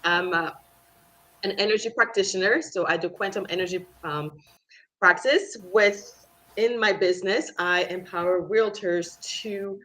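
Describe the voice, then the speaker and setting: A woman from the French Caribbean speaks as a workshop guest, her voice sitting a bit low. She talks with energy and emphasis, often restarting a phrase to get it right, over a video call. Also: measured pace, rough recording. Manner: energetic, self-correcting, emphatic. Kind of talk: self-introduction.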